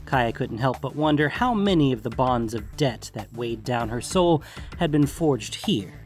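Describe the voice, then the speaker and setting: A man's voice: mid-range voice, animated, unhurried, faint music behind. A man acting as narrator, reading scripted fantasy fiction.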